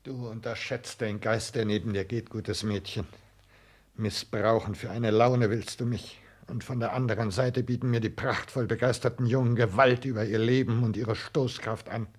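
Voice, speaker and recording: A man acting a guarded, ambitious male secretary in a 1960s German radio play, speaking formally in measured, clipped phrases. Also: on the low side, fairly even intonation, natural voice. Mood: bitter, proud.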